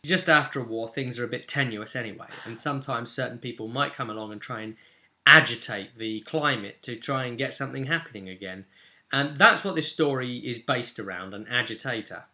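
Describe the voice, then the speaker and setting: A man with a very bright, forward voice talks as a game master. He explains in a conversational storytelling style, with pauses partway through his phrases. His pitch sits in an average male range. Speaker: game master recapping a roleplay session. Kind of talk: conversational storytelling recap to camera.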